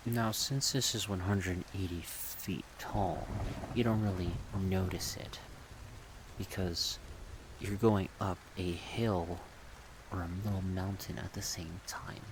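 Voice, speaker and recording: Slow, low-pitched soft-spoken ASMR man. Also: steady tone, noisy background. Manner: halting, thinking aloud in short phrases. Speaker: ASMR video creator.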